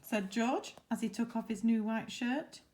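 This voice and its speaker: A woman's voice: a little high, steady tone, a little slow, darkish tone. A woman narrating a children's story expressively, like a teacher, with chatty asides to her listeners. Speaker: teacher reading a picture book.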